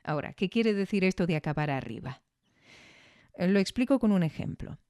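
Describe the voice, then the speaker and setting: Dark, mellow-voiced podcast reviewer, dry sound. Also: medium pitch, lively intonation, clear, resonant tone, no room sound. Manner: measured, didactic, questioning. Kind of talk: reflective spoken analysis.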